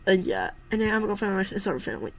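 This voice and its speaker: A young woman in her late teens giving a casual, halting pep talk. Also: medium pitch, very animated. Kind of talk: informal spoken pep talk.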